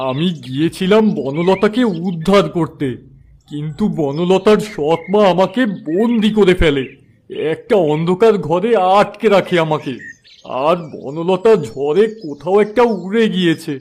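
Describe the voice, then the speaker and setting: High-voiced, earnest holy man, played by a man. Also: a little slow, a little mellow. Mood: remorseful, sorrowful. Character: male holy man, remorseful, devout and earnest. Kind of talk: cartoon fairy-tale dialogue.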